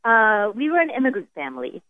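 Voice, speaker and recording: A woman's voice: fairly high pitch, very animated, fairly quick, dry recording. An American woman giving conversational, explanatory answers as a podcast guest, heard over a phone-like connection. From United States. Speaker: personal finance expert, podcast guest. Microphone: remote phone-like connection. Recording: dry, phone-like band-limited call.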